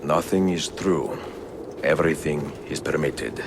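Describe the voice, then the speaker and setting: An actor playing a weary, reflective older Italian assassin in a game cutscene, speaking in a measured, solemn way with an Italian accent. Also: male voice, very deep voice, creaky, background noise, heavily acted. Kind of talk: dramatic game cutscene dialogue and letter narration.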